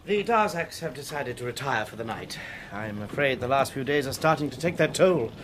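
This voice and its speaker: An actor playing a courteous, harried young gentleman host in a period radio drama. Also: mid-range voice, animated, a little fast, noisy background, lightly acted. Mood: polite, exasperated. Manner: polite, apologetic.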